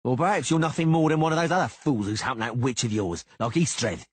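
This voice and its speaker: A man voicing a boastful, hot-headed young swordsman who jeers and shouts challenges. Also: mid-range voice, big pitch swings, normal speed, breathy edge, dry recording, pitched above the performer's own voice. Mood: shouting. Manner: loud, jeering, challenging.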